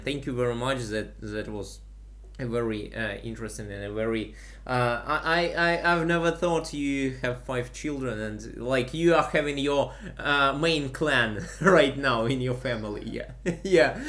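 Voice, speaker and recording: Slow, halting male stream host. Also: medium pitch, fairly bright tone. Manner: halting, many fillers, frequent laughs. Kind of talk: casual live-stream chat.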